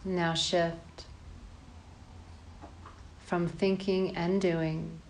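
A woman leading a guided meditation as a teacher, giving calm, measured instructions in short phrases with pauses between them. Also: fairly low pitch, a little hiss or hum, rough recording.

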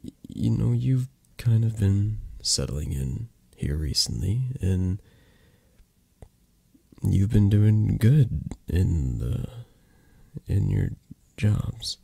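Man acting out flirty possessive mafia boss, low-pitched, dark mellow tone, hushed halting delivery, intimate ASMR roleplay, close-miked. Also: animated, dry recording, exaggerated voice. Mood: soft, possessive. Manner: hushed, halting, breathy, drifting into whispers. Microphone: close microphone, dry sound.

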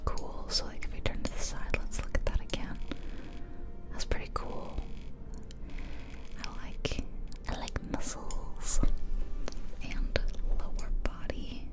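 A woman's voice: slow pace, pauses often, poor audio. A woman doing ASMR game commentary in a whisper, casually musing aloud close to the microphone. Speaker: ASMR video creator. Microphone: close microphone, ear-to-ear ASMR.

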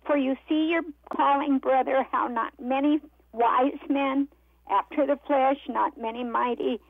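High-voiced woman over a tinny phone line. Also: steady tone, vocal fry, dry recording. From Iowa and Missouri, United States. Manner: earnest, halting, with many fillers. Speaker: guest author. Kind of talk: personal testimony in a radio interview. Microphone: telephone.

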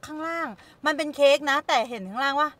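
A woman's voice: sits high, fast talker, very noisy. A woman in her thirties, vlogging casually as she walks a grocery store and tastes food in her car, talking straight to viewers, recorded on a phone held at arm's length. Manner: chatty, addresses viewers directly, excitable. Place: supermarket aisles and parked car. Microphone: handheld phone camera at arm's length. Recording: phone video with music underneath. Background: background music.

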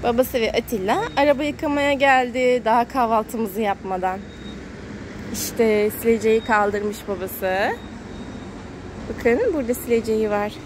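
A woman's voice: on the high side, fairly even intonation, unhurried. A woman narrating casually to a small child as a mother filming a family vlog, encouraging and repeating words in a sing-song way. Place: outdoors at a car wash. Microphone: handheld phone camera, speaker behind it. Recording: outdoor car wash background noise.

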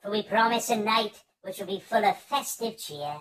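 A man's voice: high-pitched, moderate pace, a bit bright. A man playing a festive pantomime bear, welcoming the audience in theatrical, declamatory rhyme like a showman. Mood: grand welcome.

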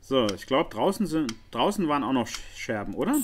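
A man's voice: medium pitch, very animated, a little fast, soft background music. A man giving casual commentary on a game, thinking aloud and talking to the viewers. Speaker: gaming video host. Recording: noisy recording with clicking.

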